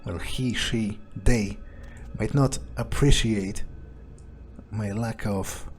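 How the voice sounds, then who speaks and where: A man's voice: on the low side, slow, faint music behind. A man role-playing a cocky, foul-mouthed fledgling vampire in improvised video game commentary, muttering hesitantly and swearing casually. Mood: musing, curious. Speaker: game streamer role-playing his character. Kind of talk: in-character improvised gameplay commentary.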